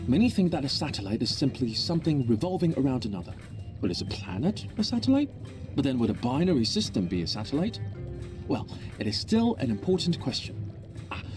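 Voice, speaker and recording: An eccentric scientist in a video game, lost in his own research. He rambles excitably and absent-mindedly, his pitch moving in lively swings over clearly audible music. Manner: rambling, excitable, self-absorbed. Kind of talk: video game character acting.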